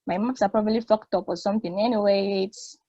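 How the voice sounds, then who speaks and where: Actress as excitable female friend and navigator, husky voice, stage-play read, close dry lo-fi sound. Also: medium pitch, fast, no echo, close to an everyday voice. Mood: thrilled. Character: excitable woman guiding her friend over the radio link.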